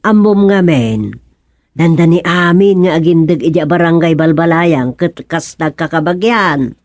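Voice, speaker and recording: A woman's voice: fairly low pitch, measured pace, a little mellow, dry recording, lightly acted. A woman playing a chatty, teasing village wife in a radio comedy drama, with an Ilocano accent.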